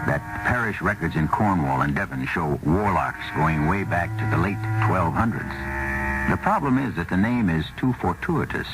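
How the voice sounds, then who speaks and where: Low voiced guy doing old time radio mystery intro, dramatic and lively, loud music under him. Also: low-quality recording. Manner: theatrical, deliberate, ominous. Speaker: radio mystery show host. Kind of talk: dramatic scripted radio narration.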